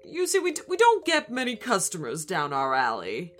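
Woman putting on a blustering, excitable crocodile baker. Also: medium pitch, moderate pace, soft background music. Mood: apologetic, wistful. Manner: stammering, indignant exclamations. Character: jolly, blustering, easily outraged male crocodile baker.

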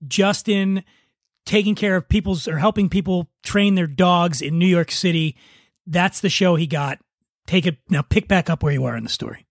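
A man's voice: sits high, moderate pace, creaky, dry recording, studio quality. A man hosting a casual comedy podcast, chatting with a joking, teasing manner. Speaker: podcast host.

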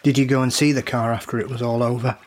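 A man's voice: medium pitch, level tone, quick pace, noisy background, close to an everyday voice. A man playing a grieving, defensive brother, a worn-out diver, in blunt, terse audio drama acting. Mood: haunted, subdued.

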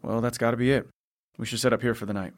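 An actor playing an urgent, protective journalist father in an apocalyptic audio drama, terse and hurried. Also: medium pitch, steady tone, no echo, studio quality, natural voice. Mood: calm.

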